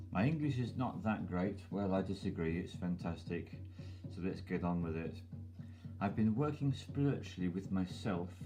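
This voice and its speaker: A man's voice: sits low, dark tone. A man hosting his channel, reading a listener's email aloud over music. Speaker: channel host.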